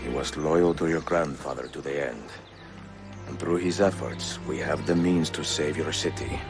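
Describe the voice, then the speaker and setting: World-weary Italian master assassin, rock-bottom pitch, gravelly fry, courteous, video game cutscene. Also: male voice, moderate pace. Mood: grave, measured. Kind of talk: cinematic video game acting. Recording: music behind the dialogue.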